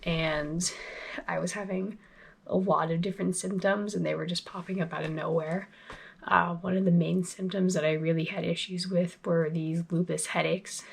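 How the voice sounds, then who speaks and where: A young woman talking casually to her channel, sniffly from a cold, chatty and relaxed with plenty of fillers. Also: fairly low pitch, creaky, slightly rough. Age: young adult. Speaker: vlogger. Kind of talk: casual talk to camera.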